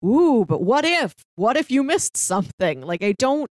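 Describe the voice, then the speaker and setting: A woman's voice: fairly high pitch, big pitch swings, normal speed, no echo. Woman co-hosting a podcast, dry close sound. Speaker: podcast co-host. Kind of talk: casual film discussion, analytical.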